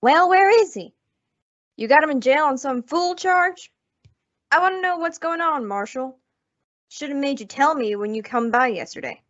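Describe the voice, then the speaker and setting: A woman's voice: sits high, animated, moderate pace, a bit bright. A woman playing a bitter, vengeful rancher's wife in an old-time radio western, blunt and accusatory in folksy frontier speech. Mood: impatient, demanding. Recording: dull lo-fi radio drama recording.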